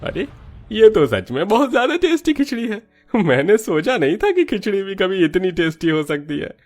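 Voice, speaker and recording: A man playing an expressive, fussy food stall customer in a cartoon story. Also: high voice, normal speed. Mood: delighted surprise.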